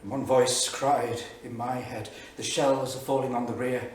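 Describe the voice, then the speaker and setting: A man's voice: medium pitch, steady tone, measured pace, lightly acted. Middle-aged male diarist and veteran, played by a man, sardonic, emphatic stage reading; heavily reverberant, off-mic. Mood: grave, reflective. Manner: sardonic, emphatic, literary declamation. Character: anguished middle-aged male English writer, war veteran, scornful diarist. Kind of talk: staged reading of dramatised diary. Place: small theatre studio. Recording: echoey theatre, distant mic, faint noise.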